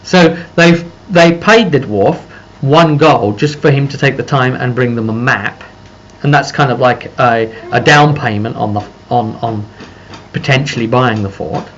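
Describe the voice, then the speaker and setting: A man giving a casual, rambling recap as a game master, halting and circling back over his phrases. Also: mid-range voice. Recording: muffled lo-fi audio with background noise.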